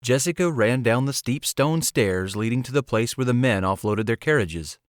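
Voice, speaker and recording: A man's voice: mid-range voice, expressive, normal speed, no room sound, clean studio sound. A man reading scripted fantasy storybook narration as an audiobook narrator.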